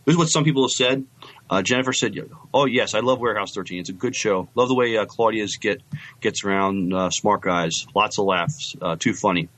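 A man with a moderately low voice co-hosts a casual podcast. His delivery is halting and conversational, with hesitant, repeated words as he chats and reads out comments. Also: fairly bright tone, low-quality recording. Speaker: podcast co-host. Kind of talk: casual chat, reading out listener comments.

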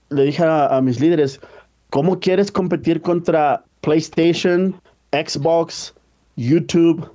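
A man's voice: a little high, warm tone, highs cut off. A man, talking earnestly in a casual panel discussion and often repeating words as he searches for them. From Mexico.

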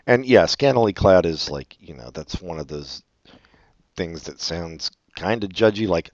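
A man's voice: low-pitched, lively intonation, a little slow, no room sound, dull audio. A man co-hosting a casual chat, reading advice letters and making sarcastic, profane jokes. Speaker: podcast co-host.

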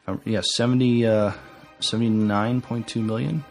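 A man co-hosting a podcast, talking casually about films in a halting, stammering way. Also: on the low side, flat delivery, creaky, a little mellow, faint music behind. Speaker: podcast co-host. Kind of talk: casual film review chat.

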